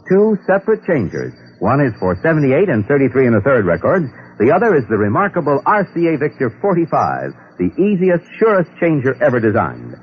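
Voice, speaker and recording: A man announcing in the style of a vintage radio commercial. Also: medium pitch. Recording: dull, noisy old radio recording.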